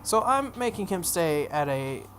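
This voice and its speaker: A man voicing a guarded teenage girl mage in a visual novel, brisk and cautioning, with some background noise. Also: fairly high pitch, very animated, a bit bright. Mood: matter-of-fact. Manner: matter-of-fact, cautioning.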